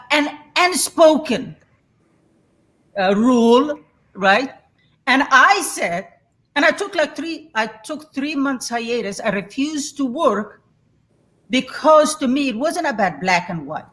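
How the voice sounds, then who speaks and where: A woman's voice: sits high, lively intonation, takes it slowly, long pauses, fairly bright tone. A woman in her sixties from Somalia, a guest telling her story candidly and laughing easily. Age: 60s. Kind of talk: reflective interview conversation.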